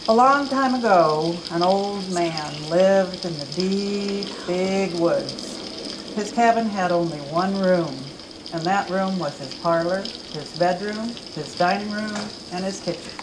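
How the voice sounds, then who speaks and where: A woman at a library event reading a children's story aloud with dramatic, expressive delivery. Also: on the low side, slow, background noise. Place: campus event room.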